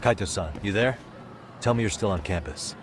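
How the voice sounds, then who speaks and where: A man's voice: lowish voice, moderate pace, background noise, close to an everyday voice. An actor playing a composed, wry male investigator in a video game, with a casual, dry, self-assured delivery. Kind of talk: video game dramatic acting.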